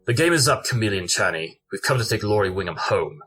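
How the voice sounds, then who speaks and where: Man as gentleman detective pony, low, forceful, formal, precise, stern, music underneath. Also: lively intonation, fast talker. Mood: commanding. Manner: formal, clipped, articulate. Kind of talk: detective audio drama acting.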